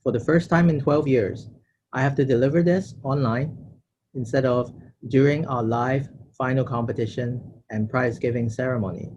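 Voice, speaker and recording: A man's voice: mid-range voice, normal speed, darkish tone, husky, dull audio. A man delivering a prepared thank-you speech as the president of an organization. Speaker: organization president giving closing remarks.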